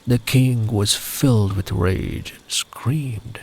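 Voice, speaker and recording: A man telling a story in a gentle ASMR style, soft and soothing, often slipping into a breathy near-whisper. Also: lowish voice, a little hiss or hum. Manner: soothing, unhurried, tender.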